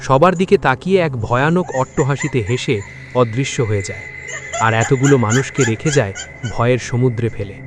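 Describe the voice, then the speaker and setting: A man's voice: mid-range voice, noisy background. A man narrating a scripted horror story in a dramatic storytelling style.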